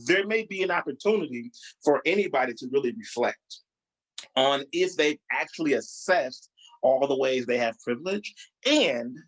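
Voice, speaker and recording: A man leading a group training, explaining ideas and asking the group questions, speaking over a video call. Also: poor audio. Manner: encouraging, asks the group questions, rises in pitch for emphasis. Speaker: diversity trainer.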